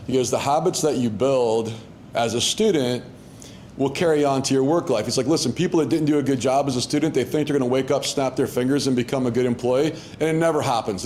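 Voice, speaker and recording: A middle-aged man of ordinary pitch talks to a room of students in a loose, conversational way. He restarts sentences often and leans on fillers. A faint hum runs under the voice. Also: a little mellow. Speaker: keynote speaker. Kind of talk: casual, conversational talk to students. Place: university event hall.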